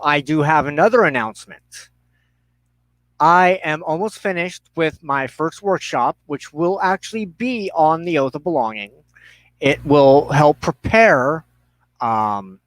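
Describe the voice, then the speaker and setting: A man hosting a livestream, making introductions and announcements in a halting, deliberate way with pauses mid-phrase. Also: a little high, no room sound, rough recording. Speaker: host of a religious broadcast show.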